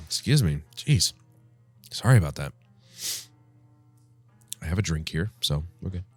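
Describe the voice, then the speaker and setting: A man hosting a casual gameplay commentary, rambling and wryly griping, his voice congested and strained from yelling. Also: low voice, sing-song, slow, faint music behind. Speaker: gaming show host. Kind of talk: casual let's play commentary. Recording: clear background noise.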